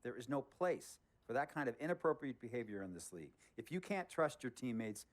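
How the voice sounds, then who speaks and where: A man playing a blunt, worldly middle-aged team owner, giving measured, authoritative advice in a game drama. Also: mid-range voice, very animated, natural voice. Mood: stern. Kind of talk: dramatic cutscene dialogue.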